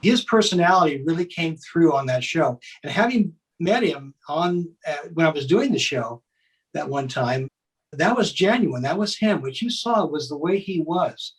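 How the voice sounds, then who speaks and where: A man reminiscing as an interview guest, thoughtful and halting. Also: on the high side, animated, slightly rough. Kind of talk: reminiscing in conversation. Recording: distant from the mic.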